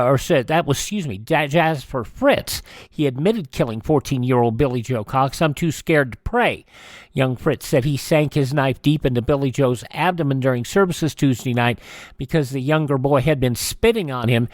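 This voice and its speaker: Higher-pitched man reading news, dry sound. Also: no room sound. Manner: halting reading with stumbles and self-corrections. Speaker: radio show host. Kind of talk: reading old news items between vintage radio dramas.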